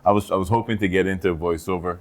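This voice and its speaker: A man's voice: deep voice, fast talker. A man co-hosting a podcast in casual, comedic banter, animatedly acting out bits with a velvety voice. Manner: animated, acts out quotes and sound effects.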